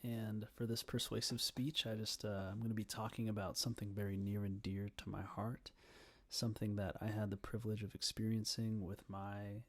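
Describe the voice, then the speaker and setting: A young man giving an informal prepared speech in an earnest, hesitant manner with frequent pauses. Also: fairly low pitch, level tone, slightly rough, no room sound. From Canada, Ontario. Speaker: student giving a persuasive speech.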